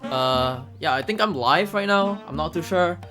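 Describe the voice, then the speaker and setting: Animated man commentating, music behind. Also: fairly high pitch, moderate pace. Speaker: speedrunner. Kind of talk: casual live game commentary. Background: game music.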